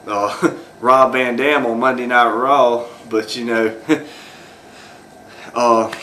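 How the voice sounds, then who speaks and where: A man's voice: mid-range voice, fairly even intonation, slow, bright, forceful tone, slight background noise. A man talking off the cuff as host of his own video, rambling with many fillers and restarts. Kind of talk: unscripted solo commentary.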